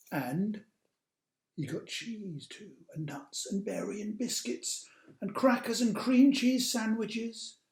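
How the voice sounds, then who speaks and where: A man reading a picture book aloud to children, with dramatic drawn-out emphasis and hushed asides. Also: high-pitched. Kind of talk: animated children's storytime narration. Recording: roomy, echoey sound.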